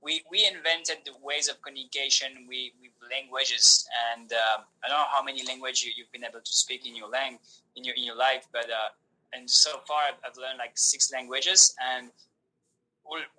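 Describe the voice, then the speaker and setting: A man whose first language is Polish, talking as a podcast guest in an animated way, with many restarts and fillers. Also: medium pitch, normal speed, rough recording. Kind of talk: casual conversation.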